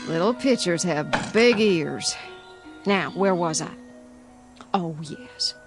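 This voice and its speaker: A woman's voice: lowish voice, expressive, takes it slowly, over music. An actress playing a gossipy small-town woman with a rural American accent in a radio drama, folksy and conspiratorial. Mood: gossipy, ominous. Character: gossiping small-town woman telling neighbours dark rumours.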